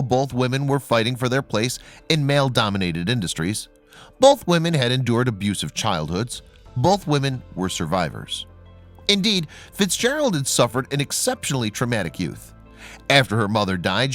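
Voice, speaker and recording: Male narrator with lively, swooping intonation. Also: mid-range voice. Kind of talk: scripted documentary narration. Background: background music.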